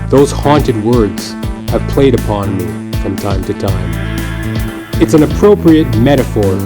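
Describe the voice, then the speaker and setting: A man's voice: on the low side, expressive, measured pace. A man narrating a scripted comic story in a theatrical, mock-grandiose, wry manner. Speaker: comedy podcast host, storyteller. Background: music.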